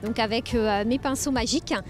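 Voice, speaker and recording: A woman's voice: on the high side, a little fast. A woman from Anjou who works as a face painter and children's entertainer, speaking informally in an interview. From Anjou, France.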